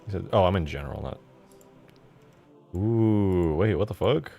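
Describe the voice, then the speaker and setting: Low-voiced man thinking aloud over music. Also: animated, unhurried, creaky, lo-fi sound. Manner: informal, sweary, mumbles thoughts aloud while working. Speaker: game modder, streamer. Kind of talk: casual live commentary while working on mods.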